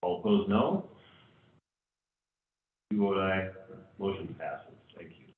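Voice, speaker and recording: A deep-voiced man chairs a council meeting, reading out motions and calling votes. The sound is thin and phone-like, caught from a distance in a roomy chamber. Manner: procedural, brisk. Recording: tinny, echoey chamber from far off.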